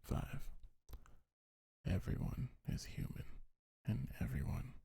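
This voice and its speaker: A man speaking gentle, soothing ASMR affirmations in a breathy, husky half-whisper, unhurried and close to the microphone. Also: low-pitched, sing-song, no room sound. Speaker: ASMR creator. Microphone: close microphone, dry.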